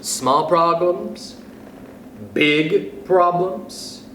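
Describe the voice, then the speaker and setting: A man reading a children's picture book aloud in a playful, expressive way, chuckling between passages, then speaking to young listeners himself. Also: fairly high pitch, extremely slow, slight background noise. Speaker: youth club storyteller. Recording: echoey room.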